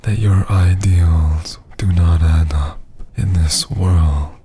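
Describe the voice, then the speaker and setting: A very slow, deep-voiced vampire lord played by a man in an intimate ASMR roleplay. He is seductive, menacing and condescending, teasing in a husky half-whisper that drips with command. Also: steady tone, dark tone, slight background noise. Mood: taunting, seductive.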